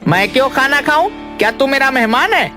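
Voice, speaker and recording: Lady doing fussy silly village guy for a cartoon, high whiny voice, swoops around, music behind. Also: animated, a little fast. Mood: stubborn. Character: fussy, stubborn foolish village man obsessed with guests. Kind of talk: exaggerated Hindi cartoon dialogue.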